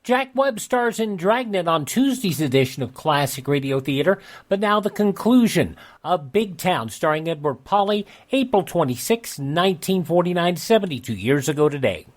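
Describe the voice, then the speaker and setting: A man's voice: fairly high pitch, big pitch swings, moderate pace, few pauses, no echo. A man announcing as a radio show host, reading scripted program links.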